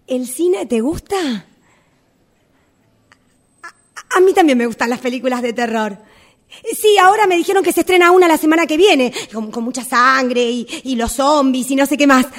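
Radio play role: awkward, eager Rioplatense Argentine woman, acted by a woman, high pitch, coaxing and hesitant. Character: eager, hopeful woman awkwardly inviting someone out. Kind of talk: radio theatre workshop monologue.

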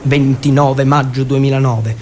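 A man's voice: mid-range voice, fairly even intonation, unhurried, darkish tone, a little hiss or hum. A man from Abruzzo, Italy, performing an irreverent theatrical narrative monologue in an emphatic, satirical way. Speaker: actor-storyteller.

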